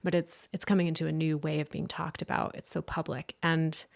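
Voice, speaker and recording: Female podcast host, low register with vocal fry, reflective and conversational. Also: flat delivery, a little fast. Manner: thoughtful, frequent restarts and "like". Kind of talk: conversational interview.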